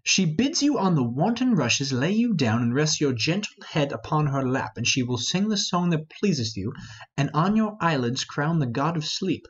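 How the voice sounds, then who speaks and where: A man's voice: fairly high pitch, very animated, moderate pace, breathy edge, muffled recording. A man playing a commanding, solemn older lord and father in an amateur Shakespeare reading, declaiming the verse. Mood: grand, solemn.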